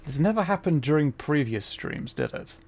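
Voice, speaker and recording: A man's voice: fairly high pitch, sing-song, normal speed, a little mellow. A man hosting a gameplay livestream with casual, chatty, self-deprecating commentary. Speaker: livestream host.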